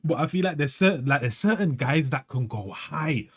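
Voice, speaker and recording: A man's voice: on the high side, lively intonation, normal speed, a little hiss or hum. A young man, chatting casually as a music reaction host and musing aloud in heavy slang. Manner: slangy, musing, tags lines with "fam" and "bro".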